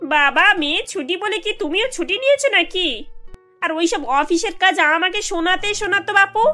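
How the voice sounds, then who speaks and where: A cartoon old village aunt with an extremely high, sharp voice. She nags and complains sarcastically, demanding and hard to please, over faint music. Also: expressive, normal speed, heavily acted. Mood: sarcastic, dismissive. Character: scolding, demanding elderly village aunt-in-law. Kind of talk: animated cartoon dialogue.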